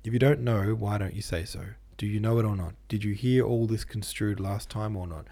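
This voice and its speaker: A man voicing a furious, tyrannical male schoolmaster who shouts insults at a pupil. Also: on the low side, fairly quick, creaky.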